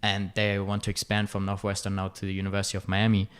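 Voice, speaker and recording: A man's voice: on the low side, completely flat delivery, quick pace, clean tone. A young man from Germany, chatting on a podcast in a hesitant way, with many fillers. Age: young adult. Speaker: student club director, podcast guest. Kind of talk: casual podcast chat.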